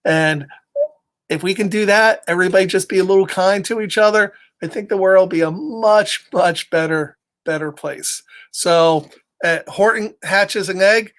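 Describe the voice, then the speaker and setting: A man's voice: sits high, normal speed, a bit bright. A middle-aged man reading a picture book aloud and chatting informally with viewers as a storytime host. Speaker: children's story reader. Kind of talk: storytime read-aloud with casual chat to viewers.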